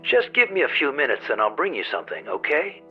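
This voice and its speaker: An actor playing a helpful, courteous small-town motel keeper in a naturalistic video game scene. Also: male voice, fairly high pitch, wildly swooping pitch, quick pace, lo-fi sound, soft background music. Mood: obliging.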